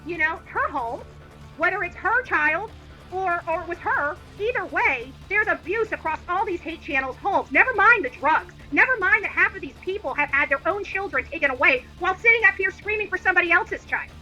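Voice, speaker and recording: Woman, livestream host, extremely bright piercing tone, very high pitch, indignant rant, blunt, emphatic, combative. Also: animated, brisk, faint music behind, low-quality recording.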